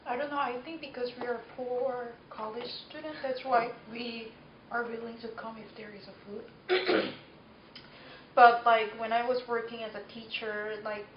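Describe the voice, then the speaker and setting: A woman student from Korea talks hesitantly in a group discussion, with plenty of fillers. Her voice sits fairly high and the recording is dull and lo-fi, with a hiss behind it. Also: steady tone, moderate pace. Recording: echoey room, dull lo-fi, noisy.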